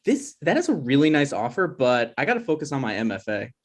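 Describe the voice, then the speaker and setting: A man's voice: medium pitch, sing-song, fast talker. A man playing an awkward, defensive young grad student with hesitant, rambling delivery in a comedy table read.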